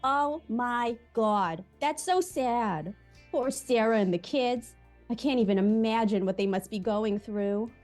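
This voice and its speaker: Woman acting a high-voiced, dramatic, sympathetic parent. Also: measured pace, a little mellow, faint music behind, lightly acted. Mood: saddened. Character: shocked, sympathetic, naive parent hearing gossip. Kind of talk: amateur live script table read.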